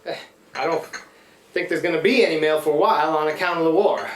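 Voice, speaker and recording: A man acting in a live radio play as a rough-spoken, easygoing Canadian river boat pilot with folksy, rambling, ungrammatical speech. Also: on the high side, fast, natural voice. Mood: casual, rambling. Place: live stage performance in a room. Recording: roomy live stage recording, faint noise.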